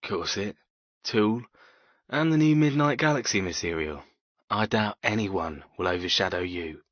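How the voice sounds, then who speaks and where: A woman voicing a doting, proud middle-aged father in an audiobook, affectionate and gently persuasive in manner. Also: rumbling low, lively intonation, normal speed, dry recording, dull audio, voice pushed down. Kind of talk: audiobook character dialogue.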